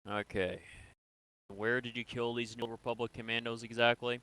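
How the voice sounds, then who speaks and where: A man playing a probing, businesslike military interrogator in an improvised sci-fi game role-play, speaking in a measured, matter-of-fact way. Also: medium pitch, flat delivery, pushed and bright, natural voice, rough recording. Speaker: role-player in an online game.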